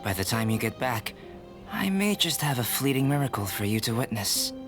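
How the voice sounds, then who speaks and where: An actor playing a calm, studious young alchemist in an anime fantasy video game, speaking in a measured, polite and precise way. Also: male voice, mid-range voice, over music. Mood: composed.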